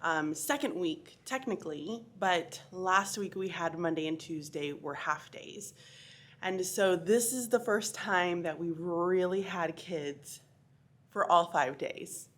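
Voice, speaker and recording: A woman's voice: on the low side, slow. A woman teacher talking casually through her week in a vlog, chatty and a bit tired, with a sleepy voice. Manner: chatty, with frequent ums. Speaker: fourth grade teacher.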